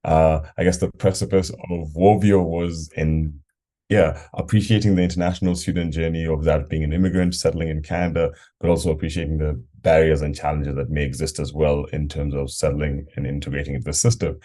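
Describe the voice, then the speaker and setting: A young man from Kenya with a very low, dark and mellow voice. He answers interview questions earnestly, explaining his company with frequent ums. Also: little pitch movement, brisk. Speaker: startup co-founder.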